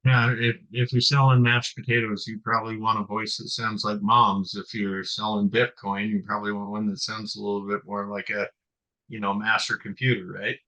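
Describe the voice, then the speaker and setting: A man's voice: on the low side, level tone, normal speed, bright, forceful tone. A middle-aged man hosting an interview, asking long, winding questions with plenty of fillers. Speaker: podcast host. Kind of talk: conversational interview. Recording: dull lo-fi sound.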